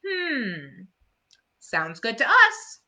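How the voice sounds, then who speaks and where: A woman's voice: on the high side, huge pitch swings, very slow, bright, forceful tone, pitched above the performer's own voice, heavily acted. A woman voicing a mocking older kid, a stubborn, sneering bully and sports rival in a storybook. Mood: considering. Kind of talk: storybook character voice.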